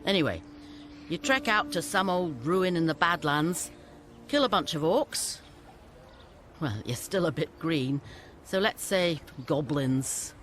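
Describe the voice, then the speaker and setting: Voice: medium pitch, animated, slow pace, a bit bright, slight background noise. An actor playing a shrewd, condescending scavenger boss making deals in a video game. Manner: condescending, businesslike. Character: brisk, shrewd scavenger boss, sardonic dealmaker.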